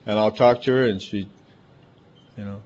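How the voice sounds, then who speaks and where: A man's voice: lowish voice, steady tone, normal speed, a little mellow. A man with a big, emphatic voice, preaching a chatty, conversational sermon full of asides. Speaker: pastor. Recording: dull lo-fi recording.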